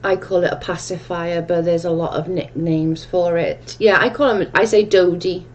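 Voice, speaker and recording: A woman's voice: on the low side, steady tone, moderate pace, a little mellow, slight background noise. A woman with a strong Welsh accent hosting a casual livestream chat, reading viewer comments aloud and answering them in a chatty, rambling way. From Wales. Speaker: livestream host.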